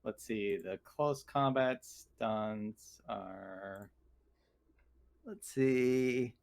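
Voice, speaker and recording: A man's voice: on the low side, drawn-out words, a little hiss or hum. A man talking casually as a player in a tabletop roleplaying game, working out his moves.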